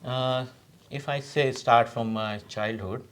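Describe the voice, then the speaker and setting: A man's voice: medium pitch, steady tone, slow pace, clean tone. A man from India with an Indian accent and Braj Bhasha as his mother tongue, giving a personal account as an interview guest. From Rajasthan, India. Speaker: community representative, interview guest. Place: TV studio.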